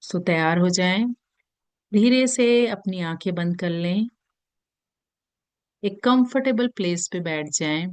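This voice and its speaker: A woman with an Indian accent leads a guided meditation in a gentle, soothing manner with long pauses. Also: fairly low pitch, animated, smooth, no echo. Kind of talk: guided meditation in Hindi mixed with English.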